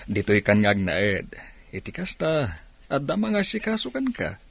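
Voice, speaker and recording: A man's voice: medium pitch, huge pitch swings, a little slow, gravelly, fairly bright tone, husky, full character voice. A man playing a kind, protective benefactor in an audio drama. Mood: kind, reassuring. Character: kind, protective man taking in a poor girl. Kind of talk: Filipino audio drama acting.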